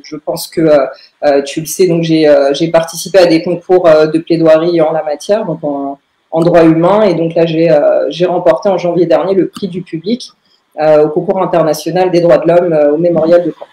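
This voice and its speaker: A young woman, answering in a podcast interview with halting phrasing and very frequent filled pauses. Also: sits low, steady tone, dark tone. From Paris, France. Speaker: lawyer, interview guest. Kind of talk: podcast interview answers about own career. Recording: roomy, echoing room sound.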